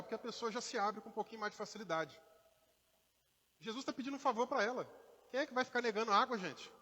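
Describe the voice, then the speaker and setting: Brazilian pastor, kinda mixed mineiro accent, fairly high voice, chatty sermon w/ little acted bits, church echo. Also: male voice, bright, forceful tone. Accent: mixed Brazilian Portuguese, partly Minas Gerais. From Brazil, born in Rio, raised in inland Minas Gerais. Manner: conversational preaching with acted quotes and asides.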